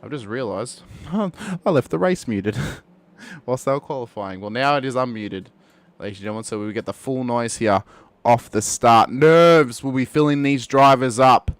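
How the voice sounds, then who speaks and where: A man giving lively play-by-play commentary on a sim race. He talks straight to the viewers and lifts his voice for the big moments. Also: mid-range voice, moderate pace, no echo.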